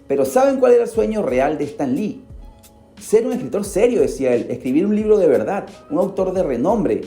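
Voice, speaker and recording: A man's voice: big pitch swings, a little fast, mellow, echoey room, soft background music. A man hosting a radio podcast, telling a story in a theatrical way and speaking straight to the listener. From Peru. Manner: theatrical, addresses listener directly, swings pitch for emphasis. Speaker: podcast host. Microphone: studio microphone.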